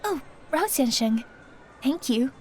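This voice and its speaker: A woman's voice: sits high, expressive, slow pace, darkish tone, husky, heavily acted. A woman playing a sweet, polite and eager young woman in an audio drama, gushing. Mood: grateful. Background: light music.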